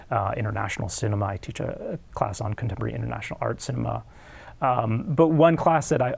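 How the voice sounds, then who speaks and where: A man's voice: medium pitch, vocal fry, a little mellow, breathy edge. A man, a film studies professor in his thirties, answering interview questions in a hesitant way with many fillers and restarts. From United States. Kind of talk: academic interview.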